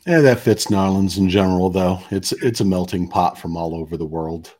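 A man's voice: deep voice, brisk, darkish tone. A man hosting a podcast, introducing his guest in a loose way with lots of "uh". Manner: loose, filler-heavy introductions with "uh". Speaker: podcast host.

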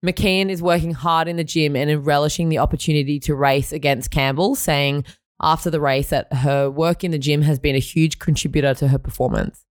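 An Australian woman co-hosting a sports podcast, a little croaky after a weekend, bright and chatty. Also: low-pitched, fairly even intonation, a little fast, dry recording, studio quality. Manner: bright and chatty, laughs often. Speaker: podcast co-host. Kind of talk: sports news podcast reading and chat.

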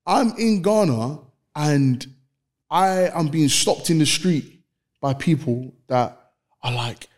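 A man's voice: a little high, animated, takes it slowly, darkish tone. Earnest, slangy East London man. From East London, England. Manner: earnest, repeats phrases for emphasis, slangy. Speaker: podcast guest, dancer. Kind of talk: casual podcast chat.

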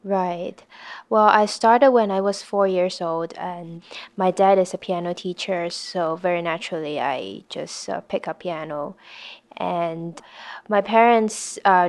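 A young woman from Hong Kong talks slowly and softly, stopping often to search for words. Her voice has a gravelly fry running through it. She is a piano contestant speaking casually in an interview. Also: on the low side. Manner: soft-spoken, halting, with many fillers.

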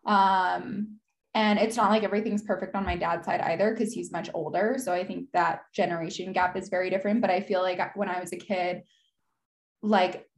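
A young American woman in her twenties talks openly as a podcast guest. Her delivery is loose and conversational, full of "like" and "you know", and she often pauses to gather her thoughts. Her pitch sits in a middle range for a woman. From United States. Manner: casual, frequent "like" fillers, reflective. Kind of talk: candid conversational interview.

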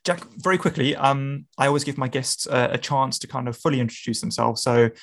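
A man's voice: medium pitch, fairly quick, fairly bright tone. A man hosting a podcast, friendly in manner, often laughing and restarting his words. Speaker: podcast host. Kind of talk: casual interview.

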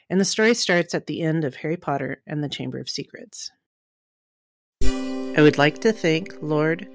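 A person reading a scripted introductory note as the author of a story. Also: medium pitch. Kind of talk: scripted announcement.

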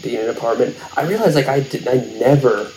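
A young man talking in a relaxed, rambling way, full of fillers and restarts, in a casual podcast chat. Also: medium pitch, fast, slight background noise, poor audio. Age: young adult.

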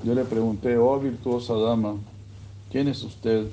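A man giving a sermon, retelling a scripture story in a slow, measured way. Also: mid-range voice, fairly even intonation, mellow, muffled recording, a little hiss or hum. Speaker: religious speaker.

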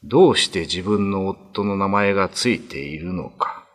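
A man's voice: deep voice, animated, a little slow. A man narrating a classic ghost story as a dramatic scripted reading.

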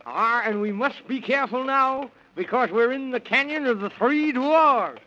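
A man's voice: very high voice, normal speed, pushed and bright. A man playing a fussy, jittery, kindly old Man in the Moon in a 1930s radio serial, dithering with folksy exclamations. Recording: muffled, noisy 1930s radio recording.

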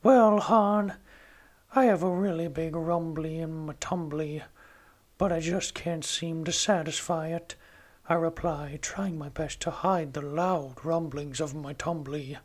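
A man doing an impression of a gentle, simple-minded cartoon bear who loves honey, reading a diary aloud. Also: a little high, fairly even intonation, measured pace, natural voice. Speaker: podcast host. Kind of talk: amateur storybook impression.

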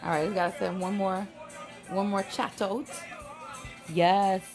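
A woman's voice: medium pitch, little pitch movement, a little slow. A woman in her late thirties from Trinidad, chatting casually and familiarly to livestream viewers as she tells stories. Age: late 30s. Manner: chatty, familiar, addresses the audience often. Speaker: livestream host. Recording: music playing behind the voice. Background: background music.

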